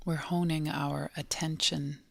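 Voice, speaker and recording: Female meditation guide: gentle, unhurried delivery with long pauses, noticeable vocal fry. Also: sits low, level tone, no room sound, studio quality. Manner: gentle, measured, long pauses between short phrases. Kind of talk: guided body scan meditation.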